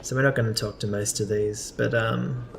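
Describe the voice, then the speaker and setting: Gravelly casual male game commentator. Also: fairly low pitch, fairly even intonation, normal speed, noisy background. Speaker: let's play host. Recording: game music behind.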